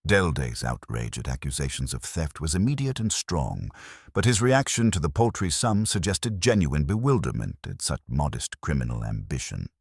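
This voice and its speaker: A man narrates a detective story in a very deep voice. His delivery is measured and literary, yet the pitch moves about freely. The sound is dry and close. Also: big pitch swings, breathy edge, dry recording. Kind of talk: scripted detective audiobook narration.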